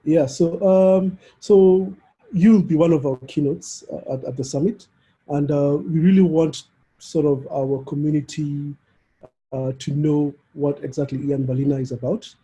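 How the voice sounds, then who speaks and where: A man interviewing a guest in a casual conversational style, with hesitant, halting phrasing. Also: on the high side, fairly even intonation, mellow, poor audio. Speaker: interviewer, summit organizer.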